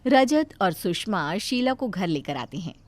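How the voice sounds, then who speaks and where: A woman narrating a cartoon moral story in a lively, expressive storybook style. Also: medium pitch, measured pace.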